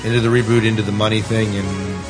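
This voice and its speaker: A man's voice: lowish voice, flat delivery, fast talker, over music. A man co-hosting a podcast, chatting casually about sci-fi news. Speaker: podcast co-host.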